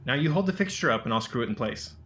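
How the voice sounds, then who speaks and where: An actor playing a calm, patient, lightly teasing home remodeler in a romantic comedy radio play. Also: male voice, mid-range voice, very fast, lightly acted. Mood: reassuring. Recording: echoey room with background noise.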